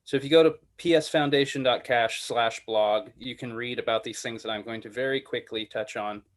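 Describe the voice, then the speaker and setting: A man's voice: mid-range voice, brisk. A man hosting an online committee meeting, calling on people in a loose, rambling way. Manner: loose, filler-heavy, with restarts. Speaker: meeting host. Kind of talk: casual meeting moderation.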